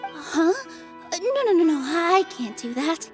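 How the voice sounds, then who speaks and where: A woman voicing a kind, gentle young dancer who speaks politely and reassuringly, in video game dialogue. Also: very high-pitched, big pitch swings, measured pace, full character voice. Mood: flustered. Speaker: theater dancer. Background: game music.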